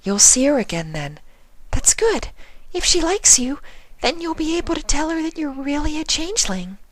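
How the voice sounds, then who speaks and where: A man voicing a timid, gentle young female pegasus pony in a soft, breathy falsetto with hesitant phrasing. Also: big pitch swings, normal speed, poor audio. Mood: gentle, earnest. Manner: hesitant, faltering.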